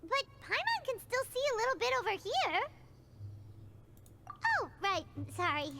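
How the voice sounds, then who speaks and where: A woman voicing a tiny, cheerful female fairy companion in a video game, squeaky and childlike. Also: sing-song, a little slow, gravelly, noisy background, full character voice. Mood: chirpy, sheepish. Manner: childlike, third-person talk. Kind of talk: cartoonish video game acting.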